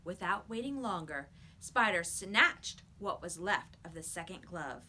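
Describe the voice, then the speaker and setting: Animated, bright-voiced woman reading a story. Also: lowish voice, measured pace. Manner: animated, emphatic, dramatic storytelling. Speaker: teacher reading aloud. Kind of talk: expressive children's story read-aloud.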